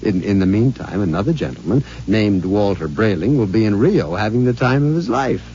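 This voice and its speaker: An actor playing a sly, self-satisfied husband in a 1950s radio drama, talking in a conspiratorial, amused way, on a dull old recording with faint hiss. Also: male voice, fairly low pitch, very animated, brisk, dark tone. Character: scheming middle-aged husband, smug and sly.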